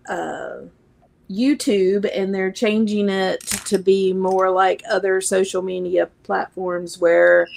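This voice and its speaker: A woman's voice: lowish voice, vocal fry, darkish tone, a little hiss or hum. A woman chatting on a livestream, hesitant and stumbling over her words. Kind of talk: casual chat.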